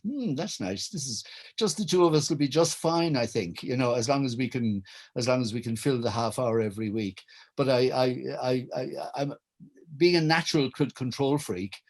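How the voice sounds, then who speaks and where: A middle-aged or older Irish man telling wry anecdotes as a guest, in a hesitant, halting manner. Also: mid-range voice, a little mellow. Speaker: guest, writer and director. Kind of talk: anecdotal reminiscence in a public conversation.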